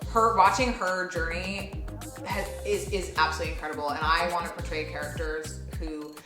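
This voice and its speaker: A woman's voice: lowish voice, fairly even intonation, normal speed. A woman giving a casual, reflective interview as a voice actor, talking expressively and emphatically and correcting herself as she goes. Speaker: voice actor in an audio drama. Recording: roomy echo with music behind. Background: music.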